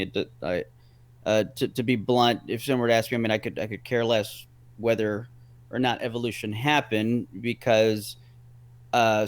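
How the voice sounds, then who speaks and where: A man as a guest in a casual debate, rambling and repeating words as he reasons step by step. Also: medium pitch.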